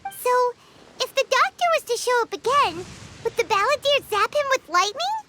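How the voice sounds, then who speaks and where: Video game fairy sidekick: extremely high childlike squeal, animated pitch, excitable, caring, loud background effects. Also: female voice, normal speed. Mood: curious. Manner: speaks of herself in third person, exclamatory. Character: tiny childlike excitable fairy companion girl, cheeky and caring. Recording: game sound effects behind, clear noise.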